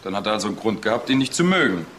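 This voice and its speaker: A man's voice: lowish voice, sing-song, very fast, a bit bright, slight background noise. A man playing a sober, matter-of-fact police investigator in a crime drama. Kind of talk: German TV crime drama acting.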